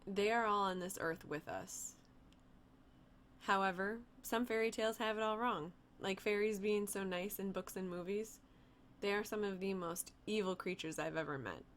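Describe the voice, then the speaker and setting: A woman voicing a young female vampire who speaks in a calm, matter-of-fact way with a teasing edge. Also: medium pitch, moderate pace, slight background noise, lightly acted. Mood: explaining.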